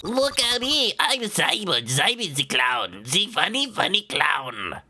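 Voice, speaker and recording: A woman on a gaming stream doing goofy, deliberately bad character impressions. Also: fairly low pitch, big pitch swings. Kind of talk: casual gaming stream banter. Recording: loud game music behind the voice.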